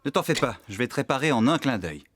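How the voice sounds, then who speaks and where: A man's voice: medium pitch, lively intonation, fast talker, a bit bright, slight background noise, lightly acted. A man voicing a cheerful, confident farm mechanic in a French children's cartoon, bouncy and reassuring. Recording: music behind.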